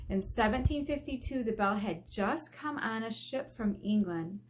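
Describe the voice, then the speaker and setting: A woman teacher reading aloud to children in an expressive way, asking questions and drawing out key words for emphasis. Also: fairly high pitch, normal speed. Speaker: elementary school teacher. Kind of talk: read-aloud lesson for children. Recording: faint background noise.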